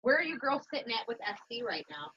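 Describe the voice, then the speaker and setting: Animated woman in her thirties, muffled call. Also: on the high side, fast, breathy edge. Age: 30s. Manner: animated storyteller, quotes herself and others. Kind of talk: casual group video call chat. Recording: dry, muffled video call audio.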